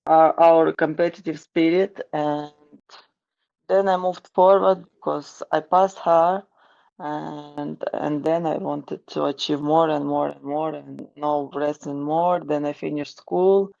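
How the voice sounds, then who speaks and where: A woman from Ukraine with a Ukrainian accent, answering interview questions slowly and haltingly, with many restarts and fillers. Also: low voice, steady tone, vocal fry, a little mellow, highs cut off. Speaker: guest, wrestling coach.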